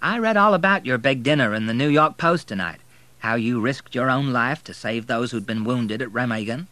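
A man's voice: mid-range voice, moderate pace, bright, forceful tone, no echo, pitched above the performer's own voice, close to an everyday voice. A man voicing a poised, teasing Southern woman who is a wealthy divorcee. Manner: arch, teasing.

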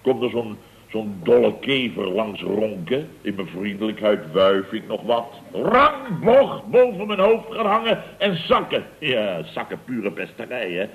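A man's voice: lowish voice, very animated, a little slow, fairly bright tone, full character voice, rough recording. A man playing a blustering, indignant boss of a building firm in a radio comedy, with pompous, theatrical storytelling. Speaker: lead actor in radio comedy serial. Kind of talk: 1970s Dutch radio comedy acting. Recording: vintage radio broadcast recording.

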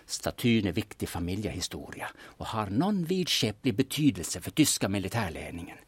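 Man acting a husky, low-voiced, shrewd older man. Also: very animated, no echo. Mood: sly, satisfied. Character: shrewd, secretive older man, war veteran turned sleuth. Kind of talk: serial audio drama acting.

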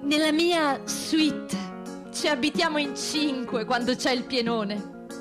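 A woman's voice: high-pitched, slow, over music. A woman playing a wry, wistful prison inmate in a theatrical radio monologue, ironic and dreamy, lingering on her words. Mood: wry, playful.

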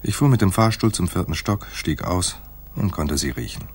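An actor playing a wry, laconic private detective in a noir radio drama, speaking in a dry, understated way. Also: male voice, deep voice, expressive, moderate pace, husky. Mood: dry, brooding. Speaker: narrator and lead actor. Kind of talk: noir radio drama, first-person narration and dialogue.

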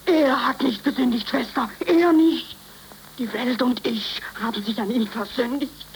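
An actress playing an anxious, grieving young woman in a 1930s radio drama, speaking slowly and with emotion. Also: on the high side. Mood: pleading, indignant. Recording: crackly 1930s radio recording, hiss.

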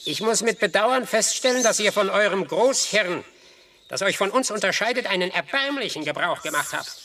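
A stern animal judge presides in a German radio play. The voice is high and forceful, with formal, deliberate phrasing and an accusing edge. There is clear noise behind it. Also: male voice, lively intonation, exaggerated voice. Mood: stern, judging. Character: stern, articulate animal judge putting humanity on trial.

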